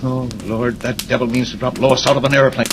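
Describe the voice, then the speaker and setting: An actor playing a flustered, blustering newspaper editor, agitated and exclaiming in 1940s radio serial style. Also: male voice, medium pitch, level tone, brisk, close to an everyday voice. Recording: very noisy old radio recording.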